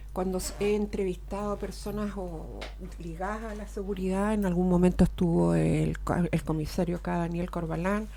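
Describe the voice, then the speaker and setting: A woman with a Chilean accent hosting a casual radio talk show in a studio, chatting and reading sponsor spots. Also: mid-range voice. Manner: chatty, warm asides, occasional laughs and filler "eh".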